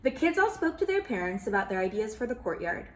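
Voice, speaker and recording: A woman reading a children's picture book aloud, expressive and animated, calling listeners friends. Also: mid-range voice, fast. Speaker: children's storyteller. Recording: roomy echo.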